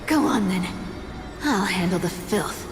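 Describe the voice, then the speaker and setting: An actress voicing a playful, sly young woman thief with a tail in a video game, speaking in a teasing, unhurried way. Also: medium pitch, lively intonation, music in the background, lightly acted. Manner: teasing, slow and breezy. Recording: echoey hall reverb with loud score.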